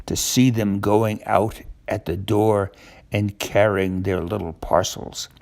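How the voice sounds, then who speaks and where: A man's voice: fairly low pitch, steady tone, takes it slowly, creaky, a little mellow, pitched below the performer's own voice. An older man voicing a grumbling, self-assured tomcat shopkeeper from a children's storybook in blunt, formal phrases. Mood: pained.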